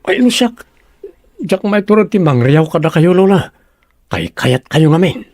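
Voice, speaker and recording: Respectful younger household member, dry sound. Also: female voice, lowish voice, expressive, a little slow, a little mellow, no echo. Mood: sympathetic, agreeable. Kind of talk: radio drama acting.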